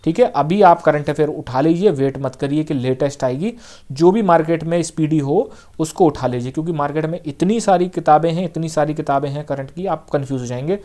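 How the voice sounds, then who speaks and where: A man's voice: a little high, fairly quick, dark tone. A young man giving casual exam-preparation advice to students, speaking directly and repeating key points with frequent check-ins. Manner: direct, repetitive coaching, frequent "theek hai" check-ins. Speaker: exam coaching teacher.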